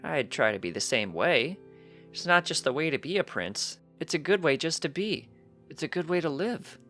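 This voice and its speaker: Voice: medium pitch, big pitch swings, moderate pace, fairly bright tone, faint music behind, pitched above the performer's own voice. A narrator voicing a precocious young pony colt, earnest and cheeky, chatting eagerly in a matter-of-fact way for a story reading.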